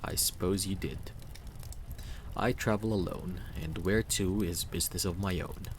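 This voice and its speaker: A man's voice: low voice, normal speed, a little hiss or hum. A man voicing a guarded, aloof male stranger in a fantasy game, speaking in a dry, laconic, formal manner. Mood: guarded, terse.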